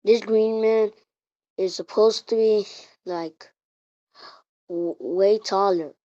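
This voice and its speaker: A woman reading out an improvised mock emergency alert in a halting way, repeating and restarting her words. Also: mid-range voice, fairly even intonation, dark tone. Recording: muffled, roomy home recording.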